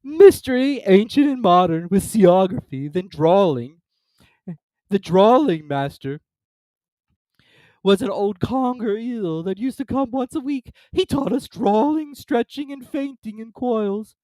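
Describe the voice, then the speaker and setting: A reader voicing the Mock Turtle, a sorrowful old sea creature who talks slowly with heavy sighs. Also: mid-range voice, lively intonation, warm tone, no room sound, pitched above the performer's own voice, close to an everyday voice. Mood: mournful. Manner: slow, sighing, drawn-out delivery.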